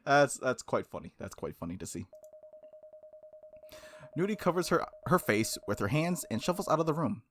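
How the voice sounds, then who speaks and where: A man reading a visual novel aloud as a game streamer, expressive, with casual slangy reactions. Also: medium pitch, normal speed, long pauses, slight background noise. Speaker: game streamer, let's-play host.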